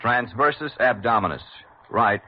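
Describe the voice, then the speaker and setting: A man's voice: medium pitch, animated, measured pace, lightly acted. A man acting a dutiful shipboard radio operator in a vintage radio drama, careful and obedient. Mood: attentive. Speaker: actor in a radio medical drama. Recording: muffled, noisy old radio transmission.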